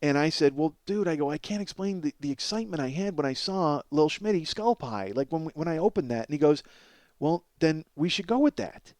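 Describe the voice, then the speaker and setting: A middle-aged man hosting a comedy podcast, telling stories in a casual, profane, self-deprecating ramble and exaggerating excitably for comic effect. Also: on the high side, fairly quick, dry recording. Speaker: podcast host, comedic storyteller.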